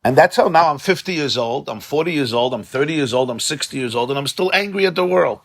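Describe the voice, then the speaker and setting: Rabbi, man, slightly high voice, conversational and impassioned, study-hall class with Yiddish and Hebrew terms. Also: lively intonation, fast talker, gravelly, a bit bright. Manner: impassioned, conversational, repeats words for emphasis. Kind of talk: informal religious lecture to a live group.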